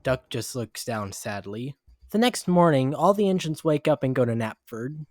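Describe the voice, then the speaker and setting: A man's voice: mid-range voice, expressive, moderate pace, darkish tone. A man narrating a scripted children's adventure story as its storyteller.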